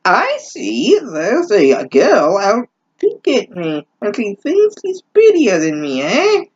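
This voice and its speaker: A man voicing a nasty, vain old witch in a high falsetto, for a mocking story reading. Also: wildly swooping pitch, slow, breathy edge, lo-fi sound, heavily acted. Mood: nasty, sneering. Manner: nasty, sneering.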